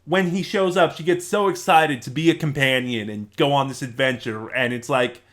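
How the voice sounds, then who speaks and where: A man's voice: mid-range voice, steady tone, moderate pace, fairly bright tone. A man giving a casual, unscripted review in a rambling, conversational way, correcting himself as he goes. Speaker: reviewer and channel host.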